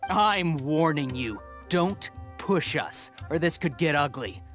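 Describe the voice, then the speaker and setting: Sneering, zealous desert swindler. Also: male voice, a little high, animated, slow pace, a bit bright. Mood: angry, threatening. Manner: sneering, theatrical. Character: shifty, zealous desert swindler, haughty and menacing. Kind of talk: video game character dialogue. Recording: faint music behind the voice.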